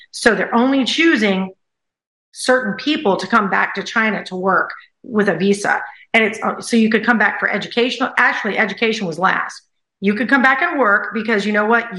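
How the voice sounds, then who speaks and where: A woman speaking as a podcast guest, telling a personal story in a casual, animated way, often repeating phrases for emphasis. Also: mid-range voice. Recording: echoey room.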